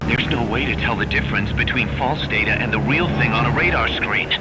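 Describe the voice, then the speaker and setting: An actor playing a blunt military second-in-command in a video game, briefing with urgent emphasis. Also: male voice, medium pitch, a little fast, loud music behind the voice, lightly acted, low-quality recording. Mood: grave briefing. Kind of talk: dramatic video game acting.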